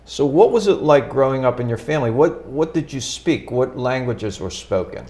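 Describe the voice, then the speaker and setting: A man's voice: medium pitch, lively intonation, moderate pace, a little mellow. A man hosting a local TV interview, asking friendly conversational questions. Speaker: TV interview host. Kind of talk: casual interview.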